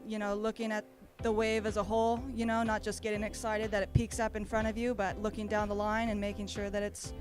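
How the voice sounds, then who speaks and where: Woman bodysurfer from Australia, mid-pitched, laid-back rambling answers, loud music behind. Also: fairly quick, hardly any pauses. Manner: relaxed, rambling, many fillers. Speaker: competing bodysurfer. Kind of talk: casual interview. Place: outdoor beach event.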